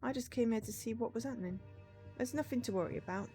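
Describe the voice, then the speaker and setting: A woman's voice: a little high, fast, warm tone, soft background music, voice pushed up, close to an everyday voice. Woman voicing a defensive, pleading female cyborg. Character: evasive, pleading female cyborg best friend.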